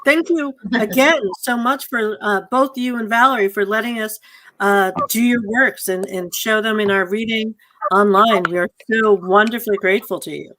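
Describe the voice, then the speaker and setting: A woman's voice: mid-range voice, moderate pace, a bit bright, slight background noise, low-quality recording. A woman moderating an informal community theatre Q&A on a video call, effusive and thankful in the way she talks. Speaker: host of a community theatre online talkback.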